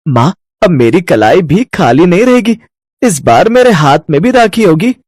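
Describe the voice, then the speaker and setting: Man as a high-voiced wistful schoolboy. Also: very animated, moderate pace, a little mellow, no echo, clean studio sound. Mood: hopeful. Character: wistful, affectionate young schoolboy longing for a sister. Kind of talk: cartoon moral story.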